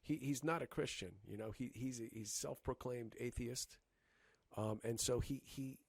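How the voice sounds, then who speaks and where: A man co-hosting a casual podcast chat, talking in halting bursts with many restarts and fillers. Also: mid-range voice, dry recording. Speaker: podcast co-host.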